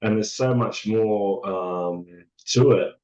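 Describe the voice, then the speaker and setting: A man with a hoarse, raspy voice, talking in a halting, reflective way as a podcast guest, full of fillers and restarts. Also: lowish voice, a little mellow, highs cut off. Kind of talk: casual reflective chat.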